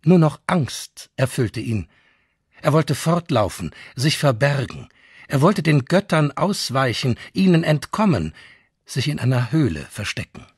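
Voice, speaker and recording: Male chronicler, husky, mid-pitched, dramatized documentary reading. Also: very animated, measured pace, no room sound, exaggerated voice. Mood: grave.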